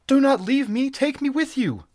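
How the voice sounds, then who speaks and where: Male reader as frightened female captive: raised pitch, urgent, wailing, pleading, fantasy audiobook. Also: animated, normal speed, a little mellow, no echo. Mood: terrified, pleading. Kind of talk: dramatic audiobook character reading.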